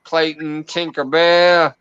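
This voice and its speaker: A man's voice: on the high side, little pitch movement, slow pace, fairly bright tone, smooth, no room sound. A man hosting a casual livestream, laughing and coaxing guests to join the call. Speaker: livestream host. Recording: dull, lo-fi stream audio.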